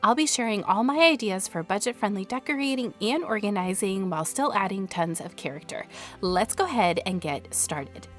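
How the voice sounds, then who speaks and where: Slightly raspy woman narrating a vlog. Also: medium pitch, expressive, moderate pace, soft background music. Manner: upbeat, friendly, tutorial-like. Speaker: home decor vlogger. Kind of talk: scripted voice-over narration.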